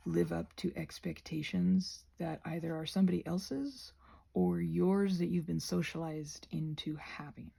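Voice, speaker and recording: A woman rambling casually to viewers as she crafts, in a halting, digressive way that often doubles back on itself. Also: lowish voice, gravelly, mellow, breathy edge. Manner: halting, digressive, self-interrupting. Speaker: craft and ASMR video creator.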